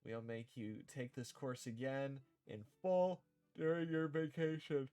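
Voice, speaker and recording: A man casually streaming as he works on a game script, reading lines aloud and rewording them in a halting way. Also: medium pitch, steady tone, clear, resonant tone, no room sound, faint music behind. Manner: halting, thinking out loud, repeating and rewording lines. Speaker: streamer developing own visual novel.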